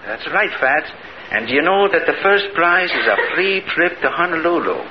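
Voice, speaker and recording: Through a noisy, muffled old radio recording comes a man acting as a genial neighborhood cop. His voice is on the high side and very animated. He is folksy and chatty in 1940s comedy style. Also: normal speed, lightly acted. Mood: cheerful. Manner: folksy, cheerful greetings.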